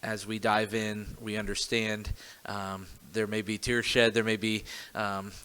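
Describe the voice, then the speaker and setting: A man's voice: fairly low pitch, level tone, measured pace, sharp tone, clean tone. A young man from Missouri hosting a church podcast conversation, speaking hesitantly with many fillers and restarts. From Joplin, Missouri. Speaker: podcast host.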